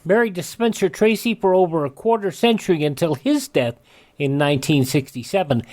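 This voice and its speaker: A man's voice: on the high side, a little mellow. American man hosting a radio show. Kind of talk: scripted almanac narration of historical dates.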